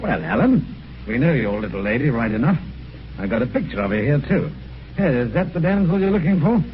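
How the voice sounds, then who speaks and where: An actor playing a jovial, teasing British police inspector in a radio mystery, breezy and chatty. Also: male voice, mid-range voice, big pitch swings, brisk, close to an everyday voice. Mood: amused, teasing. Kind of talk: 1950s radio mystery acting. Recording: dull, noisy old radio recording.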